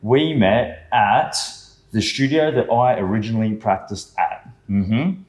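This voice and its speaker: A man with an Australian accent hosting a relaxed studio interview, enthusiastic and quick to affirm, often restarting his sentences. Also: lowish voice. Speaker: podcast host. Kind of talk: casual interview chat. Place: studio lounge.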